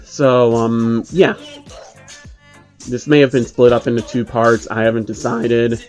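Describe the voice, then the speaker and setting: A man's voice: mid-range voice, flat delivery, measured pace, darkish tone, soft background music. A man narrating fan fiction in an amateur way, with casual spoken asides. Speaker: narrator reading fan fiction on his channel.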